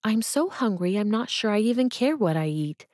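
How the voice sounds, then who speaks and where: A woman voicing an eager, slightly flustered young woman writer who answers quickly. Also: medium pitch, animated, darkish tone, dry recording, clean studio sound, voice pushed up, lightly acted.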